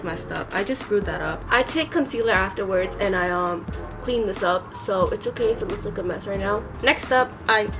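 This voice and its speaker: A young woman vlogging while she does her makeup, rambling with lots of "like" and "um", recovering from being sick. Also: mid-range voice. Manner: rambling, many fillers like "like" and "um". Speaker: beauty vlogger. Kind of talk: casual chat while doing makeup. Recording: clear background music.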